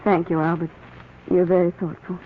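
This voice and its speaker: An actress playing a gentle, anxious young wife in a 1940s radio drama. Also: female voice, lowish voice, level tone, normal speed, phone-quality sound, slight background noise, close to an everyday voice. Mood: worried. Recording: thin old radio broadcast.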